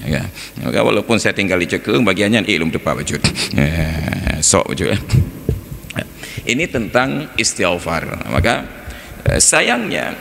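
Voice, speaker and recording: A man speaks as an Islamic teacher in a rather low voice with an Acehnese accent. His lecture is informal and conversational, built on rhetorical questions. It rings with long mosque reverb over some background noise. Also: creaky, fairly bright tone. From Aceh, Indonesia. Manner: conversational, rhetorical questions, examples mixed with local dialect. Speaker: Islamic teacher giving a mosque study talk. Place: large reverberant mosque interior. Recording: echoey hall with background noise.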